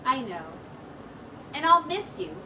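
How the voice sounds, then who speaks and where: A woman voicing a gentle, earnest female hat-maker in a children's story, with expressive, drawn-out phrases. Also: sits high, distant mic, a little hiss or hum, full character voice, rough recording. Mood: wistful.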